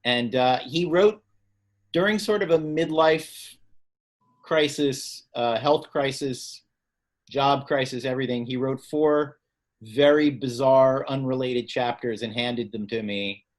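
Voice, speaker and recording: A man's voice: mid-range voice, slow. A man telling anecdotes casually as a guest reader at a literary event, speaking over a video call. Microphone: video call microphone.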